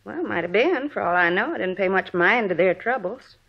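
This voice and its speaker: An American actress playing a gossipy, plain-spoken middle-aged married neighbor in a 1950s radio drama. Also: female voice, mid-range voice, expressive, a little fast, gravelly, husky. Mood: gossipy, suspicious. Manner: folksy, colloquial, gossipy. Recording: dull vintage radio recording.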